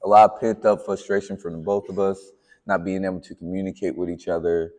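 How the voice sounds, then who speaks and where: A laid-back man tells a story on a podcast, his voice on the low side. He keeps it light with jokes and playful asides. His voice rings with a long, hall-like reverb. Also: level tone, fairly quick, darkish tone. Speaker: podcast co-host, fitness coach. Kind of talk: casual storytelling chat.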